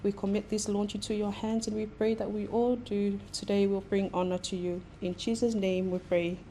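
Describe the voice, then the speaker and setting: A woman hosts a live event in a formal manner. Her voice sits at a medium pitch, with faint noise around it. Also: flat delivery, normal speed, mellow. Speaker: event host. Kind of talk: formal announcements and prayer.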